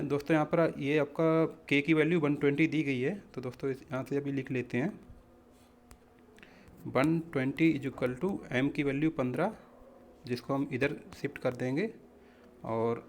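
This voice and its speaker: A man's voice: medium pitch, slight background noise. A man teaching exam practice questions, explaining step by step in an instructional way and often addressing listeners as friends. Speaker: exam-prep physics teacher.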